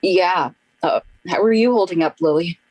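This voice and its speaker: A woman plays a regretful, grieving friend in a radio drama. The voice is low for a woman. The recording is dry and close. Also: normal speed, a little mellow, dry recording, lightly acted, rough recording. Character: grieving, regretful young friend named Ryder. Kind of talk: radio play acting.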